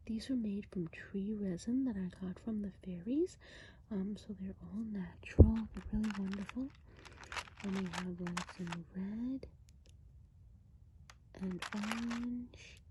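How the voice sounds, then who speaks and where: A woman doing a hushed, whispered ASMR role play as a friendly woodland witch, explaining things in a gentle, meandering way. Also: mid-range voice, noisy background. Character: friendly Christmas witch of the woods. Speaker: ASMR performer.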